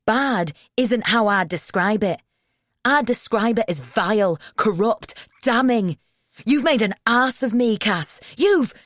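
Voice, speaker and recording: Woman voicing a young guy losing it, shouting and stuttering, sarcastic, dead dry sound. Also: a little high, animated, slow, no echo, pitched above the performer's own voice. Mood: furious shouting. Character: hurt, furious young man shouting.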